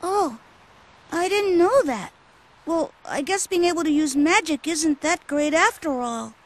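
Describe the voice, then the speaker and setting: A woman's voice: extremely high pitch, lively intonation, a little slow. A woman voicing a naive, cheerful young boy in a video game, earnest and inquisitive. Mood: curious. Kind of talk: video game cutscene voice acting.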